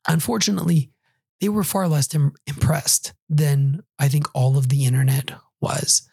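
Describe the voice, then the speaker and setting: A hoarse, husky-voiced middle-aged man talks through a solo podcast episode, still getting over a cold and flu. His tone is dark and mellow, conversational with dry, wry asides. The sound is close and dry, with no room around it. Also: fairly high pitch, steady tone, normal speed, no echo. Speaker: podcast host.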